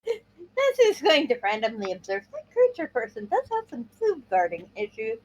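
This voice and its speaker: Animated woman with a high voice. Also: moderate pace, highs cut off. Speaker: player in a tabletop roleplay game. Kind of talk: improvised roleplay narration and dialogue.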